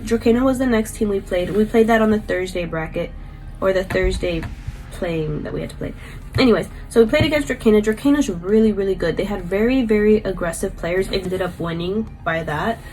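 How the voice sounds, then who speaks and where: A woman's voice: medium pitch, low-quality recording. A young woman, stuffy and congested from a cold, chatting casually through a rambling vlog recap full of fillers. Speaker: vlogger and paintball player.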